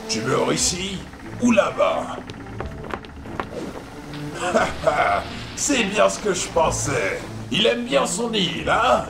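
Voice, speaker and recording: A man's voice: sits high, very animated, gravelly, reverberant, background noise, exaggerated voice. A man voicing a cruel pirate captain who mocks and laughs at his prisoner. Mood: gloating. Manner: slow, mocking, laughing.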